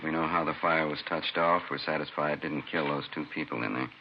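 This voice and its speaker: A man's voice: very deep voice, steady tone, a little fast. A man playing a seasoned, matter-of-fact fire chief in a 1950s radio crime drama. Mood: weary, matter-of-fact. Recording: very noisy, dull vintage radio recording.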